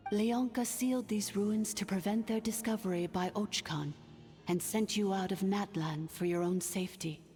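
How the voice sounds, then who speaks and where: A woman voicing a solemn, ancient artificial intelligence ruler in a video game, speaking formally and gravely in a cavernous reverb. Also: medium pitch, warm tone, lightly acted. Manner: formal, measured, grave exposition. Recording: long cavernous reverb, music underneath.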